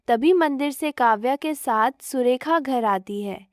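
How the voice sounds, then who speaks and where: A woman's voice: sits high, measured pace, no echo, studio quality. A woman narrator reading a scripted story.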